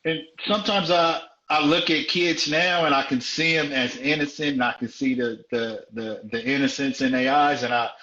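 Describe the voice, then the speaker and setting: A man's voice: mid-range voice, fairly even intonation, moderate pace, few pauses, pushed and bright. A man in his forties from South Central Los Angeles tells his life story in a frank, conversational way as a guest in a live interview. He repeats phrases for emphasis. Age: 40s. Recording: dull, roomy live-stream audio.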